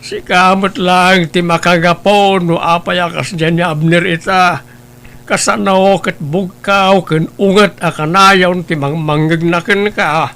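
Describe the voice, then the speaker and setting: A man doing a grave elderly grandfather in a spoken family drama, talking slowly and reproachfully. The voice is gravelly and fairly high for a man, with a sharp, bright edge. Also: steady tone, heavily acted. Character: grave elderly grandfather, stern but caring. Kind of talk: Ilocano radio-style family drama.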